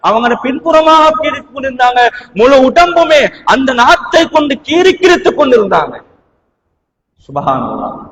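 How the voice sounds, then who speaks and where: High-pitched Sri Lankan Tamil male preacher, echoing hall. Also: a bit bright, slight background noise. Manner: emphatic, dramatic, with rhetorical questions and repeated phrases. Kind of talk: sermon with storytelling. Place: large mosque hall. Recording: reverberant hall.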